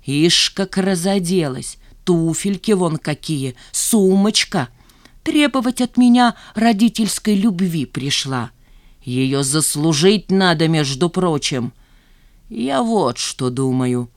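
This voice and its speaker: In an audiobook, a grumbling old man acted out by a woman drags his words out slowly and needles whoever he talks to. He is sly and self-righteous, an estranged father full of grievance, recorded close and dry. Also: fairly low pitch, expressive, no echo. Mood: scornful. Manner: slow, drawling, needling delivery. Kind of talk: audiobook character dialogue.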